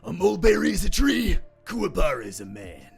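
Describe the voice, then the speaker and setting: A boastful, lovesick young cartoon brawler. The voice is high and heavily rasping, with wild swings of pitch. He declares everything with dim, earnest passion. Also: huge pitch swings, fairly quick, soft background music, exaggerated voice. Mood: blustering. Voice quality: strained gravelly rasp.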